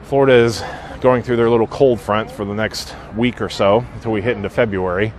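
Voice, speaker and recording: A man's voice: fairly low pitch, fairly even intonation, fairly quick. Man, live walking vlog, chatty, sniffly, outdoor background noise, phone mic. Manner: chatty, greets viewers by name, many uh fillers. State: sniffly with a sinus infection. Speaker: theme park vlogger. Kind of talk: casual live-stream walking commentary. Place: outdoors at a theme park walkway.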